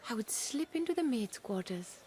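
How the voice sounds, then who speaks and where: Radio drama wife: guarded, desperate, proud, on the higher side. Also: female voice, sing-song, moderate pace, dark tone. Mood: confiding, soft. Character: anxious, secretive young wife and mother, proud, desperate. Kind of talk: radio play acting.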